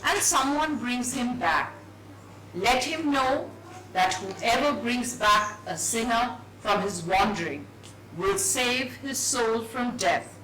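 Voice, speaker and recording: A woman's voice: medium pitch, bright, forceful tone. A woman giving a dramatised reading of a story in a hall. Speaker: story reader. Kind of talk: dramatised book reading on stage. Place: echoey event hall. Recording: echoey hall, distant mic, faint noise.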